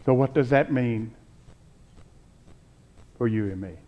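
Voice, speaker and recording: Slow, deliberate older preacher man. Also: mid-range voice, lo-fi sound. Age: 60s or older. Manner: deliberate, emphatic, with pauses between phrases. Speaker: pastor preaching. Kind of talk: Easter sermon from the pulpit. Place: church sanctuary, at a pulpit with choir behind.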